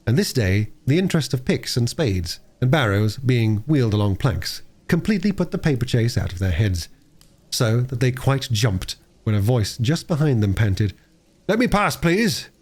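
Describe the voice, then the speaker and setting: A man narrating a story as an audiobook reader, with expressive delivery. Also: medium pitch, normal speed.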